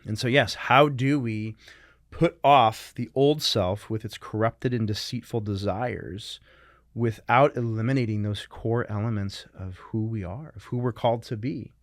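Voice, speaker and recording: Low-voiced man in close, dry conversation. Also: measured pace, no room sound. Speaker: podcast co-host, recovery coach.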